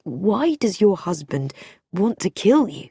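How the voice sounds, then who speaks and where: A woman's voice: medium pitch, big pitch swings, takes it slowly, dark tone, breathy edge, dry recording, studio quality, exaggerated voice. A woman voicing an earnest, protective young male amateur sleuth in a mystery story, with a direct manner. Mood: startled, questioning. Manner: direct, reassuring, questioning.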